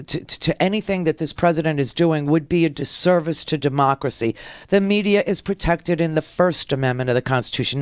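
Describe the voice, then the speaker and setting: A woman's voice: deep voice, no room sound, clean studio sound. A woman hosting a political talk radio show, sarcastic and combative, heckling the clips she plays.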